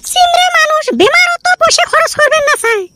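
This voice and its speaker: A woman's voice: extremely high pitch, expressive, fairly quick, very noisy. A woman voicing a nagging, exasperated village wife in a comedy cartoon, with a northern Bengali Rangpuri accent. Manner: scolding, complaining. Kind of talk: comic cartoon dialogue.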